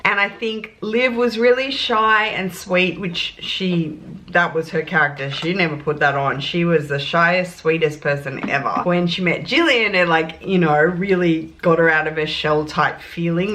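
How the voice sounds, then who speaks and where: Australian woman vlogger, young, lower-pitched, chatty and laughing, sitting down at home talking to her camera. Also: a little hiss or hum. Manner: animated, laughs often, warm and gushing. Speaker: luxury beauty vlogger. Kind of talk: casual chatty Q&A.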